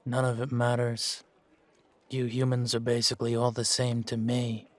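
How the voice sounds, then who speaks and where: A man playing a gruff, world-weary fantasy monster hunter in an audio roleplay, wry and aloof, with a laconic, deadpan way of talking. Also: medium pitch, normal speed, no room sound, natural voice. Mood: detached, grim. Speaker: voice actor in fan-made audio roleplay. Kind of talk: slow in-character audio roleplay.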